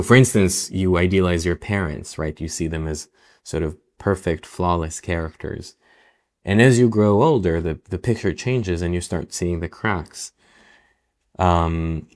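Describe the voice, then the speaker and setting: A young man from Israel, a native Hebrew speaker, talking slowly and thoughtfully in a podcast interview. His voice sits very low. He often restarts his phrases as he thinks them through. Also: a little mellow. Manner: reflective, many restarts and "um"s. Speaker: novelist guest.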